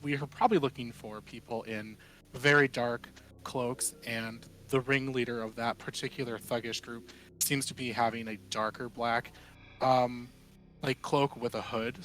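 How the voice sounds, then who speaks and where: Mid-pitched man playing a tabletop game. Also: a bit bright, poor audio. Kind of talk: casual in-game discussion, describing character's actions.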